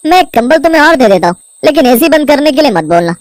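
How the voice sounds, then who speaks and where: A woman's voice: high voice, sing-song, fast talker, no room sound, studio quality. A woman voicing a stubborn young husband in a cartoon, dismissive and insistent.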